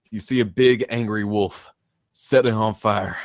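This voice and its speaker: Desperate werewolf-cursed man male actor, low-pitched, heavy fry in the voice, urgent table-read drama. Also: fairly even intonation, moderate pace, no room sound, studio quality, close to an everyday voice. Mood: urgent, pleading. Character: desperate man fighting his transformation into werewolf. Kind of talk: screenplay table read acting.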